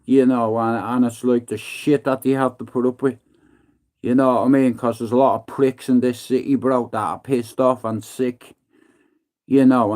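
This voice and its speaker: A man, living in Manchester, telling a rambling, profane story on a livestream and acting out his own lines to others. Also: mid-range voice. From Ireland. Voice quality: profane, slangy, calls everyone bro. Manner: animated, acts out his own threats and taunts in hushed voice. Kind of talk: casual storytelling monologue.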